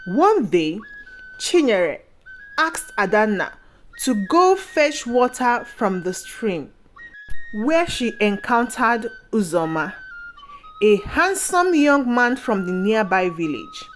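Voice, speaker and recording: Slow, lively woman telling a folktale. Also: a little high, poor audio. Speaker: storyteller. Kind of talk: scripted folktale narration. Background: background music.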